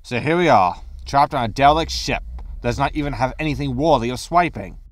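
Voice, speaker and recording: A man's voice: mid-range voice, fairly quick, lightly acted. A man playing a greedy, breezy, disgraced space haulage captain in a sci-fi comedy audio drama, flippant and full of excuses. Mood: dry. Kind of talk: science fiction comedy audio drama acting.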